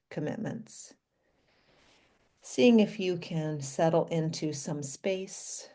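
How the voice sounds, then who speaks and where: A woman leading a guided meditation in a gentle, inviting manner, speaking in short phrases with pauses between them. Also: low voice.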